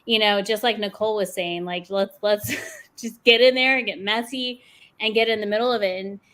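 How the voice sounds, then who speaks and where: A woman's voice: medium pitch, steady tone, normal speed, a bit bright. A woman in her forties chatting on a panel over a video call, upbeat and laughing between thoughts. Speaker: panelist, podcast host. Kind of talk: casual panel chat.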